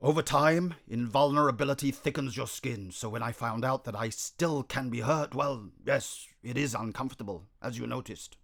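A man voicing a proud, gruff male lion who rules a circus, growling and slow-spoken. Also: medium pitch, a bit bright. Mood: pensive. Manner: growling, blustering, slow and commanding.

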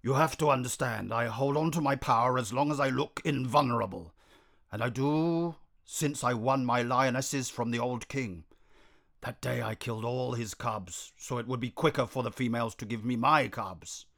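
A man doing a gruff proud lion king. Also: medium pitch, fairly bright tone. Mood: pensive. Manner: growling, blustering, slow and commanding.

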